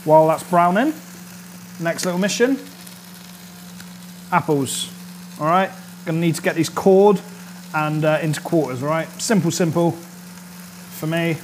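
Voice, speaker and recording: Chatty chef, sizzling pan behind. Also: male voice, on the high side, measured pace, pauses often, a little hiss or hum, poor audio. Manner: chatty and upbeat, keeps checking in with "all right?". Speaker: chef and cooking show host. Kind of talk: casual cooking demonstration. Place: indoor studio kitchen set. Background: sizzling and frying from the pan.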